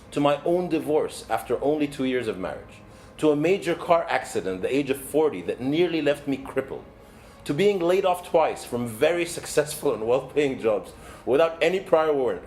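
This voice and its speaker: A man in his forties from Egypt, a native Arabic speaker, delivers a motivational keynote as a coach in an earnest, measured, deliberate way. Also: medium pitch, a little mellow. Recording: background noise, ambient sound.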